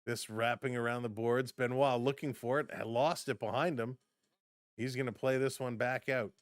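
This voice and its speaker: A man calling a hockey game live for his fan stream, brisk in the action and chatty in between. His voice is mid-pitched and getting a little hoarse. The sound is close and dry, with no room around it. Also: no room sound. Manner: brisk play-by-play, chatty asides to viewers. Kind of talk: live play-by-play and chat reactions.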